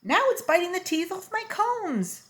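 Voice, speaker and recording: A woman's voice: very high voice, sing-song, normal speed, voice pushed up. A woman voicing an indignant, bossy male barber in a children's storybook, snappy and exasperated.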